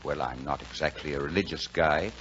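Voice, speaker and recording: A man's voice: rumbling low, fairly quick, fairly bright tone, a little hiss or hum. An actor playing a plain-spoken, reassuring American man telling a Christmas story in 1940s radio drama style. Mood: gentle, reverent. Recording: dull 1940s radio broadcast, music behind.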